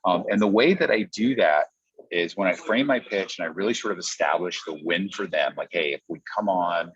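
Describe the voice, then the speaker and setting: A man with a notably deep voice talks as a coach in an informal training session. He explains in a conversational way, often voicing example lines as he goes. The sound is a bit dull and lo-fi. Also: fairly quick, breathy edge. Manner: explanatory, acts out example pitches in quotes. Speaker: podcast coach. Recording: dull, muffled lo-fi audio.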